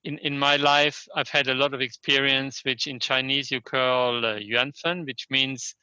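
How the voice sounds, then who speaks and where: An older German-accented man gives thoughtful interview answers in a very bright, forceful voice. He pieces sentences together haltingly and keeps tagging them with a questioning yes. The sound is close and dry but somewhat lo-fi. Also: medium pitch, little pitch movement, clear, resonant tone, no echo. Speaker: interview guest, Chinese medicine practitioner and scholar. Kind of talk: reflective conversational interview answers.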